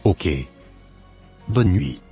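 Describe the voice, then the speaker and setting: Man voicing a low-pitched, devout, humble man. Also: very slow, gravelly, warm tone, breathy edge, faint music behind, full character voice. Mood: polite, grateful. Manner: pious, earnest, formal. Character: devout, humble formerly homeless man, earnest and grateful.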